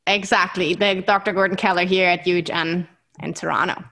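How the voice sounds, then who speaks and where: A woman with a German accent, a native German speaker, talking with excitable enthusiasm as a scientist guest in an interview. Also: lowish voice, a little fast. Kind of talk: interview conversation.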